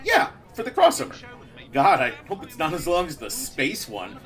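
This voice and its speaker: A man's voice: a little high, huge pitch swings, normal speed, fairly bright tone. A man playing a naive, chatty, eager newcomer in a comedy web drama. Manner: chatty, blithely unbelieving. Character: naive, eager man convinced everything is scripted.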